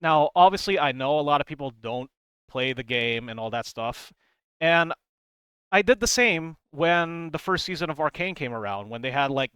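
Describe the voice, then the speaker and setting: A man's voice: on the high side, a bit bright, clean tone, dry recording. A man giving casual, rambling commentary as a video creator, conversational and emphatic, close to the microphone. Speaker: video creator explaining game lore.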